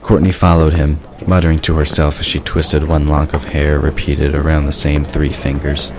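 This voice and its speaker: A male narrator reads a scripted story. His voice is exceptionally deep and low. A faint layer of background noise runs underneath. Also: level tone, moderate pace.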